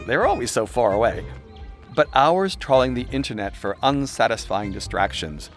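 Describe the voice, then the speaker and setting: A man reading his own self-help audiobook in a wry, irreverent, conversational narrating style, slipping into chatty asides. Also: on the low side. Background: music soundscape.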